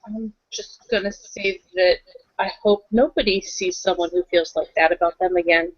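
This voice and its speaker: A woman's voice: on the low side, steady tone, moderate pace. A woman chatting casually as a player in a tabletop roleplaying game. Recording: dull lo-fi audio.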